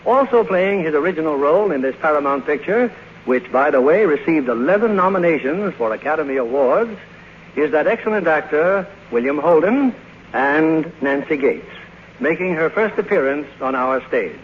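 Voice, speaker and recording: Male 1950s radio theater host, formal showman, fairly high pitch, animated intonation. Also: moderate pace. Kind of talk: 1950s radio announcing, scripted introduction. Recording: muffled old radio broadcast, faint hiss.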